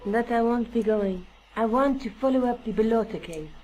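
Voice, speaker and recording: A woman's voice: a little high, moderate pace, warm tone, slight background noise, close to an everyday voice, low-quality recording. An actress playing a self-assured female journalist in an adventure game, speaking in a dry, matter-of-fact way. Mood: businesslike. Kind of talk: scripted adventure game dialogue. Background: game music.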